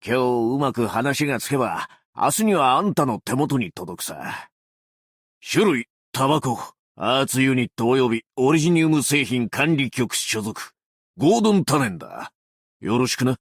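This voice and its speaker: A man playing a brash, cocky explosives fanatic with a tail in an anime game, talking in rough, swaggering slang with a gravelly voice. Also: mid-range voice, sing-song, moderate pace, no room sound, clean studio sound. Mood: smug, businesslike. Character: brash tailed male explosives fanatic, cocky bureau officer. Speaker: game character voice lines. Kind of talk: Japanese anime game voice acting.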